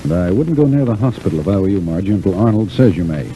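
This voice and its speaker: An actor playing a calm, protective family friend in an old-time radio serial, reassuring and matter-of-fact. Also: male voice, low-pitched, quick pace. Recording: muffled, hissy old radio recording.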